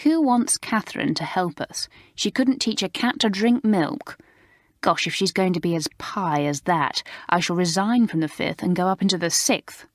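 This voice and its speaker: A woman voicing an impatient, sharp-tongued teenage schoolgirl with a tart manner. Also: fairly low pitch, normal speed, dry recording, studio quality, close to an everyday voice. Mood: scornful. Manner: tart, exasperated.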